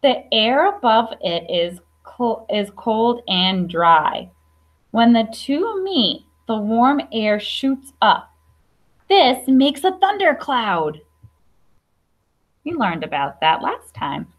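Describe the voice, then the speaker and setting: A woman's voice: on the high side, big pitch swings, takes it slowly. A young adult woman teacher reading a picture book aloud to young children, explaining simply with questions and asides. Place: classroom.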